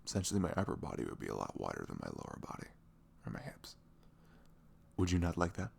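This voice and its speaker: A man playing a sweet, caring boyfriend in an intimate ASMR roleplay, rambling casually and playfully with breathy asides that drop into whispers. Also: low-pitched, wildly swooping pitch, fast, darkish tone, slight background noise. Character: sweet, caring boyfriend chatting the morning after. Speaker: audio roleplay performer.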